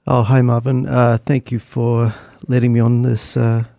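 A man's voice: mid-range voice, level tone, gravelly, warm tone, no echo, clean studio sound. A middle-aged man with a New Zealand accent, reminiscing conversationally and hesitantly as an interview guest. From Christchurch, New Zealand. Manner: hesitant, halting, thoughtful delivery.

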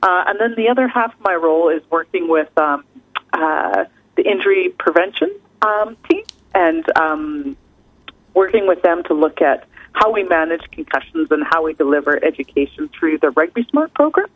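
A woman's voice: lowish voice, sing-song, normal speed, no room sound. A woman in her thirties with a Canadian accent, a research scientist chatting as a guest, filling her talk with "kind of" and "um". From Canada, British Columbia. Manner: chatty, many fillers like kind of and um. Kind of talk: casual radio interview. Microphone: phone call. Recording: tinny phone line, dry.

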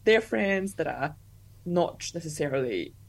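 A young woman speaks in a lowish, relaxed voice. She talks casually as an interview guest, loose and chatty, leaning on "like" and laughing easily. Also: a little slow, creaky. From Russia. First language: Russian.

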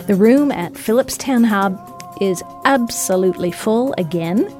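Woman narrator, scripted read, mid pitch, upbeat, soft music under. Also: expressive, unhurried. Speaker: podcast narrator.

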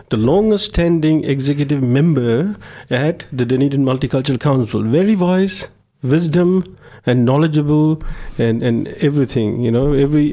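A man presents a live community radio show from a studio. His voice is mellow and relaxed in tone, at a middling pitch. He talks effusively, repeating words for emphasis as he brings in guests. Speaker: radio host.

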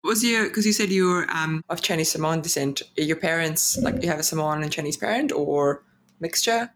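A woman's voice: deep voice, moderate pace, a little hiss or hum. Enthusiastic woman podcast host. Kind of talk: casual interview hosting. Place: home.

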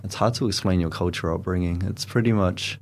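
Deep-voiced young New Zealand man. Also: fast talker, slight background noise. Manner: relaxed, mixes in Korean words. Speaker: guest. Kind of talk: casual interview chat. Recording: community radio studio.

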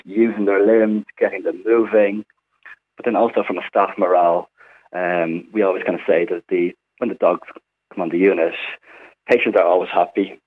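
Deep-voiced Irish man on a phone line. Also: husky. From Ireland. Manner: chatty, explanatory, many fillers. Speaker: hospital physiotherapist, guest. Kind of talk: radio phone interview.

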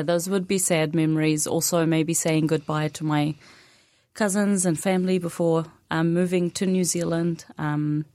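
Palestinian woman, native arabic speaker, young adult, low voice, soft-spoken, many pauses, dry studio sound. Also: no echo. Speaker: interview guest. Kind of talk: radio interview conversation.

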